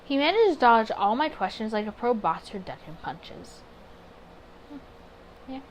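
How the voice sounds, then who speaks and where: A woman's voice: a little high, sing-song, unhurried, slight background noise, rough recording. A woman doing a casual let's play, reading story text aloud and adding chatty, candid side comments.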